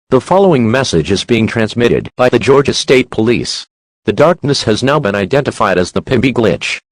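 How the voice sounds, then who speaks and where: A man's voice: medium pitch, no echo. A man reading scripted emergency alert announcements in a formal, stern manner.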